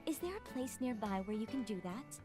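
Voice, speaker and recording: A woman voicing a calm, formal, knowledgeable talking book that mentors an apprentice in an anime-style video game. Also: a little high, fairly quick, lightly acted. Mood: composed. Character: composed, polite female talking magic book, knowledgeable mentor. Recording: music behind the voice. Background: game music.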